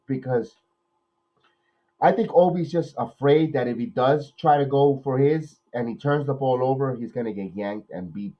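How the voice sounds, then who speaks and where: A man, nasal from a cold, hosting a watch-along podcast, calling plays with excitement and then rambling through his opinions. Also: mid-range voice, highs cut off. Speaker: podcast host doing live play-by-play. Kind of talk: watch-along game commentary and chat.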